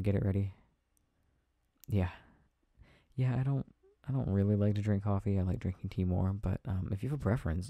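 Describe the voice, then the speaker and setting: A man playing an affectionate, tired boyfriend in an intimate ASMR roleplay, chatting softly and hesitantly with a playful, teasing manner. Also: lowish voice, gravelly, no echo. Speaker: audio roleplay performer.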